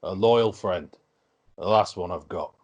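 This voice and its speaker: A man playing a hearty, blunt, affectionate middle-aged king in a script table read, with a gruff, familiar manner. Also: sits low, a little slow, darkish tone. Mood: earnest, comradely.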